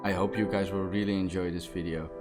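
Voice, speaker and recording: A man's voice: low voice, fairly even intonation, fast talker, loud music behind the voice. A young man from the Netherlands with a Dutch accent, talking through a Minecraft build in a casual, enthusiastic way, with many fillers and restarts. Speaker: Minecraft building tutorial host. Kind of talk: casual gameplay commentary.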